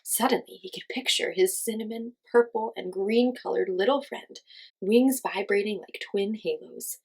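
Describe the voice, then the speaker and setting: A woman reading a children's story aloud as host, in an expressive storytelling style. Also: medium pitch, moderate pace, husky, poor audio.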